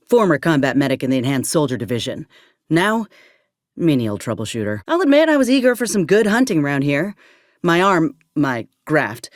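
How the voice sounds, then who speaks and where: A tough, weary ex-army medic turned troubleshooter for a video game. Her voice sits on the low side and swings freely in pitch, with a drawl. She talks in a blunt, folksy, sardonic way, recorded close and dry. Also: no echo. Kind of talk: video game character acting.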